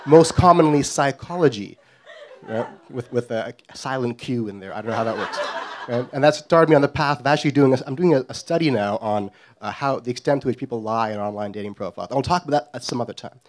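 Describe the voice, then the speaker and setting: A man's voice: medium pitch, quick pace, darkish tone. Wry middle-aged male storyteller. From Guyana. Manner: wry, self-deprecating. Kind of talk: live comedic storytelling.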